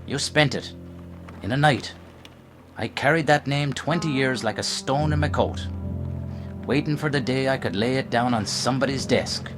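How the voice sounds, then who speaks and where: A man's voice: medium pitch, normal speed, over music, natural voice. Man acting a bitter, hollowed-out older cop. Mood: bitter resignation. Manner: resigned, bitter, terse. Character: big, hollowed-out, bitter veteran policeman. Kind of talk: 1930s noir radio drama acting.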